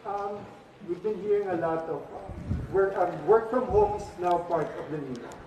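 A man's voice: sits high, moderate pace, darkish tone. A man interviewer asking a question hesitantly, picked up from a distance with echo and noise around him. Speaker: pageant interviewer. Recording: distant, echoey, noisy veranda pickup.

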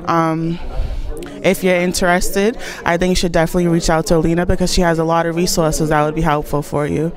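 A woman's voice: fairly low pitch, little pitch movement, brisk, a crowd in the background. A woman in her forties giving an encouraging testimonial into a handheld mic. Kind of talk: event interview testimonial.

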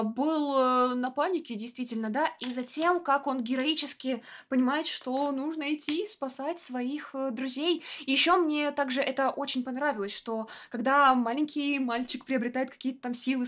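A woman's voice: high-pitched, fairly bright tone. A young woman giving casual spoken commentary as a streamer reacting to a video. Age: young adult. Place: indoor home streaming setup. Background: soundtrack music of the watched video.

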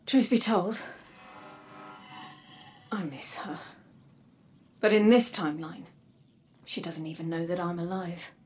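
An actress playing a grave, guarded woman warrior in a video game, measured and solemn, her breathy voice dropping toward a whisper. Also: on the low side, darkish tone. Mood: grieving, grave. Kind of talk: dramatic video game acting. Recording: game music underneath. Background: music.

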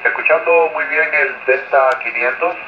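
A man comes through a radio, narrow and echoing, with clear noise around the voice. His pitch is average. He talks courteously, trading call signs and reports as an amateur operator. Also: steady tone, normal speed. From Chile. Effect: radio transmission. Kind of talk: casual ham radio contact with call signs. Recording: noisy, narrow, echoing shortwave radio reception.

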